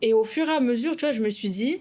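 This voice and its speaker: A woman's voice: a little high, quick pace, darkish tone. A young woman from Madagascar with a Malagasy accent, co-hosting a casual podcast, speaking frankly and outspokenly in a conversational way. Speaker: podcast co-host, content creator.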